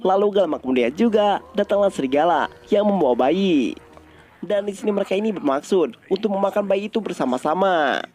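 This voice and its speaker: High-pitched Indonesian male recap narrator. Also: lively intonation, a little fast, a little mellow. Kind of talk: informal scripted story narration.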